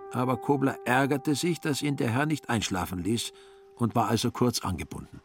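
A man's voice: mid-range voice, moderate pace, music in the background. A man narrating a literary story for a radio play in scripted, storytelling style.

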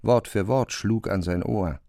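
A man narrating a literary radio drama from a script in a measured storytelling style. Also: on the low side, mellow, dry recording, studio quality.

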